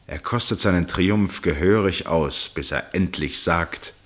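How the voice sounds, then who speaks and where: A man playing a grave, concerned gentleman who narrates a radio drama in a dramatic, deliberate manner. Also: low-pitched. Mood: grave, suspenseful.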